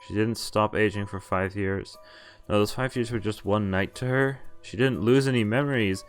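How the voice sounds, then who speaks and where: Low-voiced man reading a game aloud. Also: fairly even intonation, soft background music. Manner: halting, often pausing to mutter asides. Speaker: game streamer. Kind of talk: visual novel read-aloud with casual commentary.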